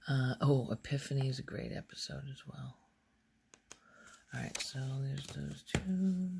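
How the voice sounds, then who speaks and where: A soft-spoken woman rambles slowly in ASMR style, often drifting toward a whisper. Her voice has a gravelly fry, and she pauses and muses as if thinking aloud. There is faint hiss behind her. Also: very deep voice. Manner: hesitant, musing, thinking aloud. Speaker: ASMR video creator.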